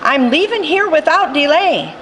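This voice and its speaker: An adventurous string bean announces its escape in a high, eager voice. Its pitch bounces lively. A woman puts it on while reading a picture book. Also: normal speed, slight background noise.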